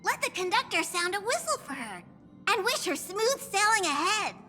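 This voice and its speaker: A woman voicing a small, cute, excitable train conductor creature in an anime game, with squeaky childlike announcing. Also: normal speed, exaggerated voice. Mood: earnest. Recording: loud music behind the voice.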